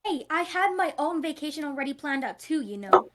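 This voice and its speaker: A woman's voice: sits high, animated, brisk, a bit bright, voice pushed up. A woman voicing a sarcastic, organized young girl with a snippy manner in a cartoon table read.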